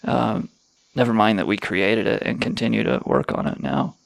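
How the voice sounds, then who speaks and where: A man's voice: on the low side, moderate pace, gravelly, dry recording. A man hosting a crude comedy radio show, sarcastic and rambling, swearing freely. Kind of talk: irreverent comedic banter.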